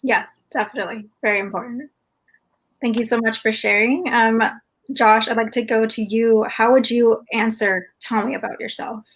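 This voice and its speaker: A woman with a fairly high voice moderates an online panel. She is upbeat and encouraging and thanks each guest. The sound comes through a video call. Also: steady tone, normal speed, poor audio.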